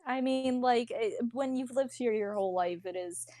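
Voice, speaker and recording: A woman's voice: fairly high pitch, normal speed, warm tone, dry recording. Young Philly woman, very dry close sound. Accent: Philadelphia. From Philadelphia area and South Jersey, United States. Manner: informal, slangy, joking. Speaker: podcast guest. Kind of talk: casual chat.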